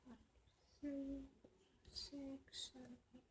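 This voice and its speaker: Slow chatty woman. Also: high voice, level tone. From South Africa. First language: Afrikaans. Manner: chatty, self-deprecating, muttering while working. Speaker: planner vlogger. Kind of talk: rambling chat while planning. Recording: faint background noise.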